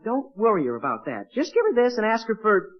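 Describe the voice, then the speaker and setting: A woman playing a brisk, instructing homemaker in an old radio comedy. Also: on the high side, very animated. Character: brisk, instructing adult woman sending a boy on an errand. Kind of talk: 1940s radio comedy acting. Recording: dull, band-limited old radio recording.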